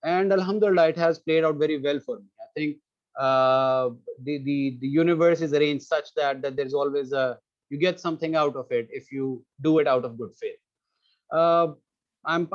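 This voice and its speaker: A man from Pakistan in his thirties giving an earnest, hesitant webinar talk, full of pauses and "uh"s. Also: medium pitch. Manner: hesitant, filler-heavy, earnest. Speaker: webinar speaker. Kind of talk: webinar presentation. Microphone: computer mic on a video call. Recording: muffled online webinar audio.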